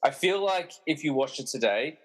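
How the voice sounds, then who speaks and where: A man's voice: fairly high pitch, animated, moderate pace. A man narrating a comic podcast radio play and hosting its quiz with a tongue-in-cheek manner. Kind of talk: scripted comic narration with casual quiz banter.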